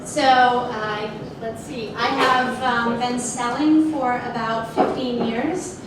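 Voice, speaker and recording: A middle-aged woman, a sales executive, talking about her career in an onstage fireside chat, measured and thoughtful with many fillers. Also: fairly high pitch, fairly even intonation. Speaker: guest speaker, sales executive. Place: large open office event space with audience. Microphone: handheld mic heard from a distant camera. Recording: echoey room from far off with noise.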